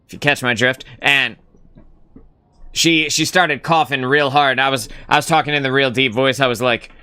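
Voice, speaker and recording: A man's voice: medium pitch, fairly even intonation, normal speed, pushed and bright, smooth. A young man hosting a casual live gaming stream, rambling and swearing casually as he greets chat.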